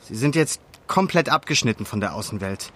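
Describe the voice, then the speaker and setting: A man's voice: fairly low pitch, animated, a little fast, bright, forceful tone. A man playing an indignant young environmental activist in an audio drama, talking in an emphatic, slangy way. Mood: grim. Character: indignant young male forest-protest activist. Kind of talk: naturalistic audio drama dialogue.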